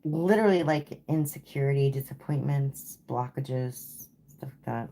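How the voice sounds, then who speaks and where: A woman's voice: very deep voice, unhurried, mellow. A woman giving a live tarot reading to viewers, casual and chatty, tired and saying she is losing her voice. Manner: casual, repeats "okay?" and "like". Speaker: tarot reader.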